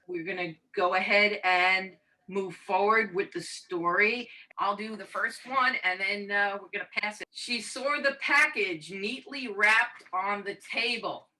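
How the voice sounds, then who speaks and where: Bright-voiced woman storyteller, off the mic. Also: mid-range voice. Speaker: host of a storytelling game. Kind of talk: improvised spooky storytelling. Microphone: video call. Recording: video call, distant from mic.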